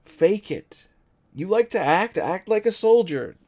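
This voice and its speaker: A man's voice: high voice, very animated, a little slow, darkish tone, voice pushed up, heavily acted. A man voicing an eager, ambitious young brother who coaxes and urges, in a dramatic story reading. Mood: urgent coaxing. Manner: urging, coaxing.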